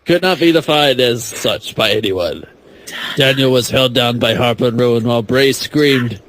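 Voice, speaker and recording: A man's voice: medium pitch, normal speed, a bit bright, slight background noise, poor audio. A man doing a comic reading of fan fiction, mock-dramatic with playful, chatty asides. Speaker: podcast guest or panelist reading narration.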